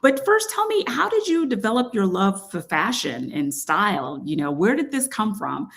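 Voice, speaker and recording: A woman's voice: medium pitch, very animated, moderate pace, gravelly, fairly bright tone. A woman hosting an interview, enthusiastic and affirming as she asks questions and reacts. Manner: enthusiastic and affirming, frequent "you know". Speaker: interview host. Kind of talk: conversational interview.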